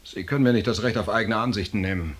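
A defiant young-blooded lieutenant speaks rapidly in a lowish, husky voice. He is blunt and agitated, in German radio drama style. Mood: indignant. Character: defiant, hot-headed male lieutenant.

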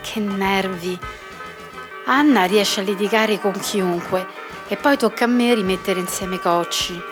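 A weary, lovelorn peacemaker played by a woman speaks at a middle pitch. She is reflective and wistful, as in a radio drama monologue, with guitar music clearly behind her. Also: measured pace, lightly acted.

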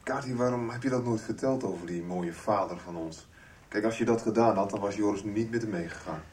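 Radio soap role for a man: reproachful young son, lowish voice, placed away from the mic. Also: brisk, a little mellow, distant mic, natural voice. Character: reproachful young man blaming his mother. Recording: off-mic, faint rumbling noise.

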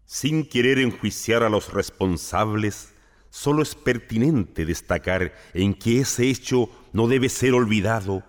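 A man's voice: fairly low pitch, unhurried. A man giving a solemn commemorative speech with recited verse. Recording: long hall reverb.

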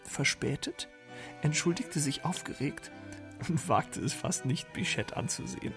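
Gravelly male narrator over music. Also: on the high side, expressive, measured pace, a bit bright. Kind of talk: literary radio play narration.

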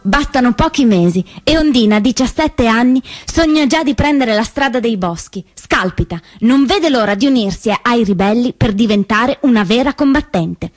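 An actress playing a spirited, cheeky teenage partisan girl, telling her story in an animated, dramatic stage monologue. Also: on the high side, moderate pace, few pauses, soft background music. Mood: proud. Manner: expressive, dramatic phrasing, shifts between voices.

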